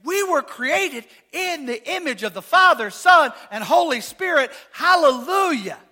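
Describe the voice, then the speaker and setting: A man preaching a sermon as pastor, casual and joking, asking the congregation questions, rising to shouts. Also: animated, measured pace. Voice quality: high voice.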